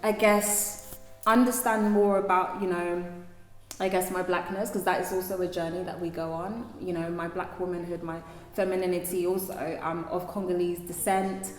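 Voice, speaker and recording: A singer being interviewed, a woman with a deep speaking voice who talks thoughtfully with many fillers and restarts. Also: little pitch movement, normal speed, reverberant, big-room sound, faint music behind, low-quality recording. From London. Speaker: singer, guest. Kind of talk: casual interview talk.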